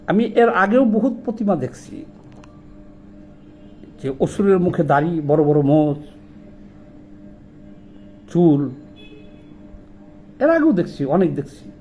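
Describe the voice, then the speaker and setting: High-voiced Bangladeshi man, indignant political commentary. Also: very animated, slow, long pauses, mellow, slight background noise, rough recording. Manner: indignant, emphatic, rhetorical questioning. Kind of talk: impassioned political monologue.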